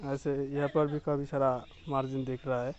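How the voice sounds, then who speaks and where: A man's voice: medium pitch, flat delivery, brisk, darkish tone, lo-fi sound. A young man giving a plain, explanatory walking tour of a construction site outdoors, talking straight to his viewers. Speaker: construction vlogger. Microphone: phone held at arm's length.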